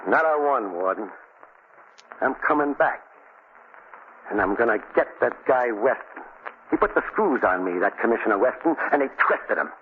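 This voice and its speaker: A hissy, narrow 1940s radio recording carries a man acting as a tough American gangster bound for the chair. He is cocky and bent on revenge, with slangy speech. His pitch swings widely as he talks. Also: expressive, normal speed, long pauses, close to an everyday voice. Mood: defiant. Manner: slangy tough-guy talk. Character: vengeful condemned male gangster, cocky and superstitious.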